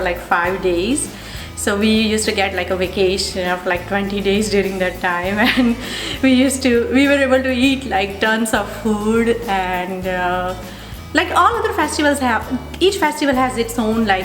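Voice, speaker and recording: Indian-accented woman, echoey room with music. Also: mid-range voice. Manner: hesitant, many fillers and restarts. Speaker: interviewee, physical therapist. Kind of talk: conversational interview.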